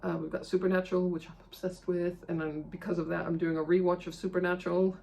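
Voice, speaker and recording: Creaky-voiced woman chatting casually. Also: on the low side, little pitch movement, fast talker, a little mellow. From Iceland. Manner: rambling, self-deprecating, chatty. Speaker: video host of a cross-stitch channel. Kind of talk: casual vlog chat to camera.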